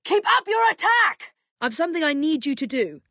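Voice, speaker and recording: A woman voicing a confident young Victorian English assassin and gang leader in a video game, crisp, commanding and wryly polite. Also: high-pitched, sing-song, normal speed, pushed and bright, no echo, studio quality, full character voice. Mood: urgent shouting.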